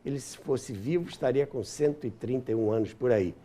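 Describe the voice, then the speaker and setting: A man's voice: mid-range voice, fairly quick, warm tone. A man in his seventies with a Brazilian accent, reminiscing in an interview in an emphatic, conversational way. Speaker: interview guest, radio veteran and author.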